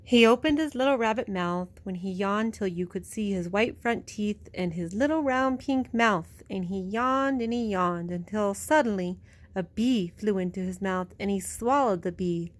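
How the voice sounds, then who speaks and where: A woman's voice: mid-range voice, moderate pace. A woman with a mid-range voice reads a picture book aloud for young children. She tells it expressively, stretching out phrases in a bedtime-story style.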